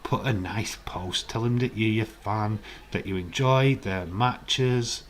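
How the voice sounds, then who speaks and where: Croaky chatty man streaming. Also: fairly low pitch, takes it slowly, a little hiss or hum. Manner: chatty, rambling, reads out viewer comments. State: hoarse, croaky voice. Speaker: live stream host. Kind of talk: casual live-stream chat.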